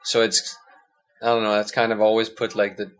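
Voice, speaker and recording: A man's voice: on the low side, level tone, fairly quick, a bit bright, smooth, rough recording. A young Danish-born man as a podcast guest, talking in a loose, hesitant way with many restarts. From Denmark. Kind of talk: casual conversation.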